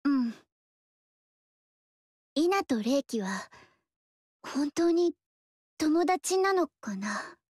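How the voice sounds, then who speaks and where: An actress voicing a polite, eager young woman archer in a video game, talking in a soft, earnest way. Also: sits high, sing-song, very slow, long pauses, no room sound, clean studio sound, full character voice. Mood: earnest gentle. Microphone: studio mic, dry.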